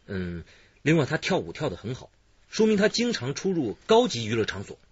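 A man's voice: mid-range voice, wildly swooping pitch, fairly quick, slightly rough, dry recording, full character voice. An actor playing a keen, observant male police investigator in a radio drama. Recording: dull lo-fi radio drama recording.

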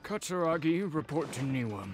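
A man voicing a stern, composed samurai commander in a video game, measured and commanding. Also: on the high side, animated, music in the background, lightly acted. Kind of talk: game voice acting.

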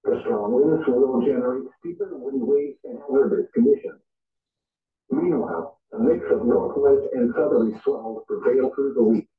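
A man's voice: medium pitch, moderate pace, roomy sound. Dull, distant synthetic male weather radio voice. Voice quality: synthetic, machine-generated. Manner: formal, impersonal. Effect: weather radio broadcast. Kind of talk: automated marine forecast readout.

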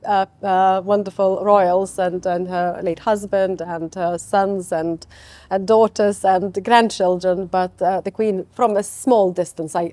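A woman's voice: mid-range voice, darkish tone. A middle-aged woman, a company founder speaking enthusiastically in an interview, often repeating words for emphasis. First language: Lithuanian. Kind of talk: conversational interview. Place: bright open office lounge with large windows.

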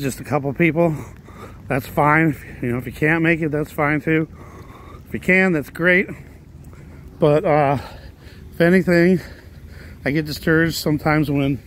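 A hoarse man talking casually while walking outdoors on a residential street, a little out of breath, rambling in a plain way and now and then coughing or sighing. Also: a little high, slight background noise. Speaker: vlogger. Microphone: handheld phone while walking.

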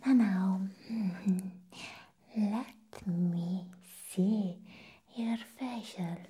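A young woman whispers very slowly as an eccentric, playful vampire nurse in an ASMR medical exam. Her voice is extremely breathy and mellow, up close to the mic. She stretches her phrases and hums approvingly between them. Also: medium pitch. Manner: slow, drawn-out phrases with frequent approving hums. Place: small indoor room set up as a clinic. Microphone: close ASMR microphone.

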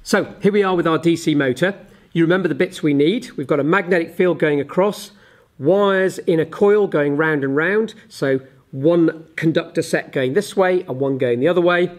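A man's voice: fairly high pitch, moderate pace, darkish tone. A man in his forties giving an explanatory physics lesson with a live demonstration, methodical and step by step, as a teacher does. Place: indoors, small office at a desk.

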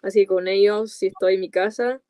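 A woman's voice: medium pitch, fairly even intonation, a little fast, mellow, no echo. A woman in her twenties from the United States with English as her first language speaks in a mid-range voice. She chats casually and colloquially as a podcast guest. The sound is close and dry. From United States, California. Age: 20s. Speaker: podcast guest, content creator. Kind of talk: casual chat in an interview. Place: small dark room with coloured lights, video call.

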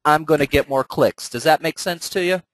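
A man's voice: on the high side, moderate pace, no echo. Stuffed-up man giving a training talk. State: stuffed up with a cold. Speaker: webinar presenter and trainer. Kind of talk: instructional presentation, conversational.

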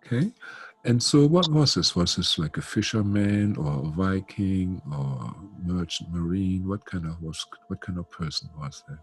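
Older male healer, deep gravelly voice, rambling fillers, headset call. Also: expressive, a little slow. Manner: chatty, warm-toned, many "you know" fillers. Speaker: spiritual healer. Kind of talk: guided spiritual session. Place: home room. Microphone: headset on video call.

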